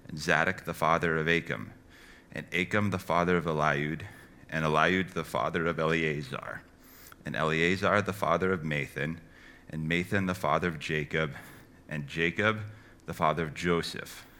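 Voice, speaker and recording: A man reading a long genealogy from scripture in a slow, steady recitation. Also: extremely low pitch. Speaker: scripture reader.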